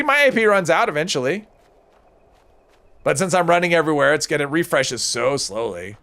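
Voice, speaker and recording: A man's voice: fairly high pitch, creaky, fairly bright tone. A man streaming a video game, chatting casually and answering his viewers' questions as he plays. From United States. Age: older than high school, adult. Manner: casual, chatty, reads and answers chat while playing.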